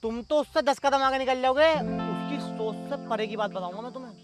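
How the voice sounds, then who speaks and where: An actor playing a wily, flattering village election fixer who hustles for money in a comedy sketch. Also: male voice, very high voice, over music. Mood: scheming, persuasive. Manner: flattering, fast-talking hustler. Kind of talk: rustic comedy sketch acting.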